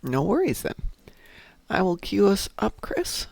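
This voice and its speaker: A man's voice: high-pitched, huge pitch swings, unhurried, vocal fry, no room sound. A man streaming a space game, chatting casually with teammates and viewers while losing his voice. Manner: chatty, talks to teammates and chat while playing. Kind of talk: casual gameplay commentary.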